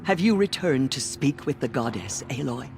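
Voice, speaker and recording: A solemn old priestess of a tribe in a video game. Her delivery is stately and very melodic, with music clearly behind it. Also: very deep voice, expressive, moderate pace, a little mellow. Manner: ceremonial, stately.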